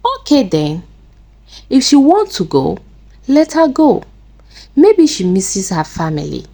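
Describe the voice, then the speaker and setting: A woman's voice: medium pitch, sing-song, slow pace, warm tone, full character voice. A woman voicing a puzzled, resigned husband in a folktale, questioning and matter-of-fact. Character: puzzled husband, a generous but resigned man.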